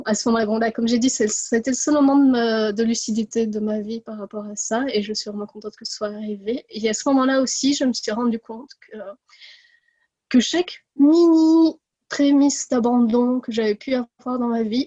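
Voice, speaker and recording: A woman in her forties from India gives a personal testimony on a podcast. Her voice is fairly high and mellow, and the recording is close and dry. She hesitates often, fills gaps with little sounds and restarts her sentences. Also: fairly even intonation, fairly quick, no echo. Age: 40s. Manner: hesitant, many fillers and restarts. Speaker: podcast guest.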